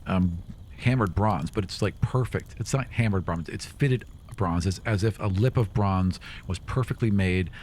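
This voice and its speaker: Low-voiced man narrating a tabletop game. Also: darkish tone. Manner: conversational, halting and self-correcting as he describes. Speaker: game master. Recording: faint background noise.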